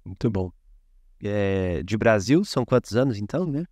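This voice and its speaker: A man's voice: on the low side, animated, normal speed, dark tone, no echo, clean studio sound. Man hosting with many hesitations. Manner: hesitant, full of "é" fillers. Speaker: podcast host. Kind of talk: interview hosting.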